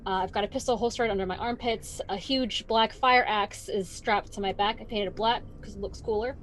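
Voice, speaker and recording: A woman's voice: medium pitch, steady tone, a little fast, a bit bright, slight background noise. A woman playing a tabletop game, chatting casually about her character with lots of fillers. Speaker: player.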